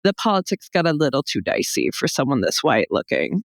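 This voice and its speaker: A young American woman chatting casually as a podcast guest, telling humorous anecdotes in an animated, joking way and acting out bits of dialogue. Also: extremely low pitch, a little fast, creaky, dry recording, studio quality. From Texas, United States. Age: young adult. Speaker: podcast guest, comedy show founder.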